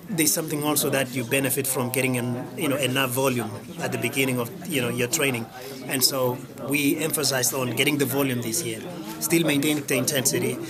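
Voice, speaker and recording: An earnest man in his late thirties answers interview questions at an outdoor event. He rambles through many fillers with a voice of middle pitch. A loud crowd roars all around him. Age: 30s. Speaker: interviewed athlete. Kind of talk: casual interview. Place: outdoor event before a race. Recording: loud crowd noise behind.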